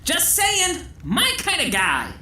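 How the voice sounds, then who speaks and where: A woman's voice: extremely high pitch, wildly swooping pitch, slow pace, bright, forceful tone, exaggerated voice. A woman voicing a cheeky, silly droid sidekick in a sci-fi audio drama, jokey and full of exclamation. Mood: playful. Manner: jokey, exclamatory, childlike enthusiasm. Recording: echoey, with background noise.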